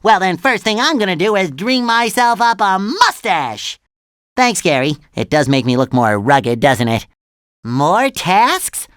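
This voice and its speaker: A man voicing an excitable, naive, cheerful young cartoon sea sponge in a video game, with a squeaky nasal voice and eager, childlike earnestness. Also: unhurried, smooth, dry recording, studio quality, full character voice. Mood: chatty, eager. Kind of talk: animated video game voice lines.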